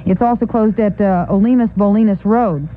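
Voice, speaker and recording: An American woman delivers a scripted newsroom report on 1980s radio. Her voice has a middling pitch, and the sound is thin and band-limited, like an old aircheck. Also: moderate pace, phone-quality sound, slight background noise.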